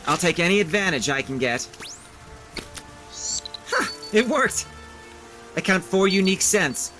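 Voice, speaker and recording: An actor playing a cocky, hot-tempered trophy hunter in an audio drama, blunt and sardonic. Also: male voice, high-pitched, very animated, unhurried, sharp tone, soft background music, full character voice. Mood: smug.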